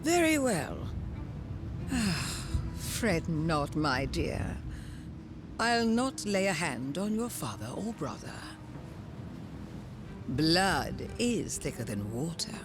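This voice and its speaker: A haughty pirate queen from a video game, silky and menacing. She speaks slowly and formally, with theatrical swoops in pitch, over loud music. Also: big pitch swings, exaggerated voice. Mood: cool menace. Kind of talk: scripted video game cutscene acting.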